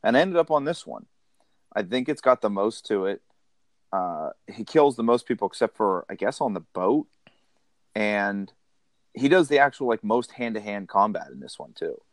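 Man, podcast host, relaxed, lowish voice, dry close sound. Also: moderate pace, no echo. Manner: relaxed, steers the conversation. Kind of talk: casual podcast chat.

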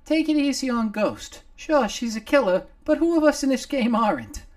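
A man voicing a small, scrappy male sidekick who wheedles and pleads in a high, squeaky voice. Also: expressive, normal speed. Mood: needling.

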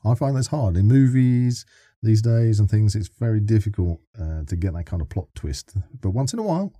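A man's voice: on the low side, animated, normal speed, dark tone, dry recording. An older man chatting casually as a podcast interviewer, talkative and given to teasing his guest. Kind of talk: casual interview chat about sci-fi.

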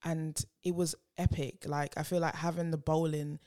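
A woman co-hosting a podcast in casual chat, animated and laughing often, her voice worn from shouting. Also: low voice, moderate pace. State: losing her voice. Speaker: podcast co-host.

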